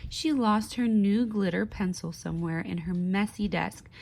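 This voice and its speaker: A woman reading a children's picture book aloud in an expressive, deliberate teaching way, pausing to put questions to young listeners. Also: mid-range voice, vocal fry. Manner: slow, deliberate, with pauses. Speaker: speech therapist.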